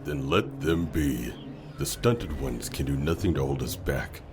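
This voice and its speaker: A man acts out a ruthless dark knight general in a fantasy audiobook. The voice is extremely low, cold and commanding, set against clear outdoor noise. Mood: cold, dismissive. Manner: commanding, dismissive, measured.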